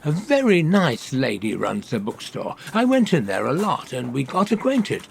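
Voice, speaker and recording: An actor playing a gentle, earnest, grieving old widower with a creaky voice in a radio comedy. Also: male voice, medium pitch, huge pitch swings, normal speed, slight background noise. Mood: hopeful, trusting. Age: older, about 70s. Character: grieving, trusting elderly widower shopkeeper.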